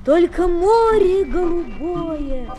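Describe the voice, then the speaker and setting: A woman's voice: very high voice, animated, slow pace, dark tone, faint music behind. A woman reciting verse for children on a faintly noisy vintage disc recording.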